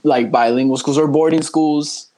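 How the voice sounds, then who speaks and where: A man's voice: fairly high pitch, fairly even intonation, normal speed, warm tone. A young man in his twenties, raised in Honduras, talking casually and earnestly as a podcast guest, with frequent fillers and restarts. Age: 20s. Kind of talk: casual conversational interview.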